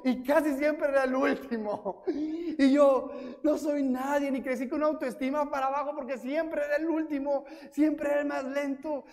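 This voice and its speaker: A man from Mexico with a Mexican accent, preaching a sermon as pastor, telling self-mocking stories and acting out quotes in a lively way. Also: very high-pitched, normal speed. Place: church. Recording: reverberant church hall.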